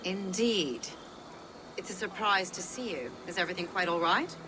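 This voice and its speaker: A woman's voice: on the low side, normal speed, noisy background, close to an everyday voice. An actress voicing a composed, cautious village mother who speaks politely in a video game. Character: composed, wary village mother with local knowledge. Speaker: game character. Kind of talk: video game cutscene acting.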